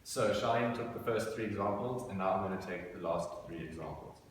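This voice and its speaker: A man's voice: low voice, brisk, a little hiss or hum. A young man continuing a class presentation in a lecture room, speaking plainly and evenly. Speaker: student presenter. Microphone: far room mic. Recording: echoey room from far off.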